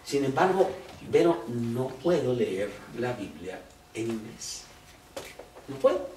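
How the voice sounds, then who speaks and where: A man gives a sermon at an unhurried pace, and his pitch rises and falls a lot as he asks his listeners question after question. He sounds set back from the microphone in a roomy, echoing space. A faint hiss runs underneath. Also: sing-song, warm tone, recorded from a distance. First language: Spanish. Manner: slow, conversational, with rhetorical questions. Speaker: preacher. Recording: roomy echo, off-mic, faint background noise.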